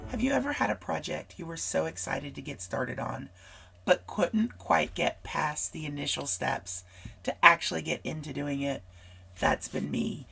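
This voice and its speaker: A woman hosting a scrapbooking vlog, hoarse from a cold and losing her voice, chatty and rambling as she talks straight to her viewers. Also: deep voice, moderate pace, a bit bright. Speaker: scrapbooking channel host. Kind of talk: casual craft supply walkthrough.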